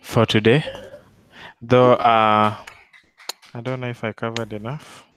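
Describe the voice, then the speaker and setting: A man presenting at a meetup, speaking in a slow, halting way. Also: medium pitch, little pitch movement, a bit bright, a little hiss or hum, rough recording. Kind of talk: casual chat.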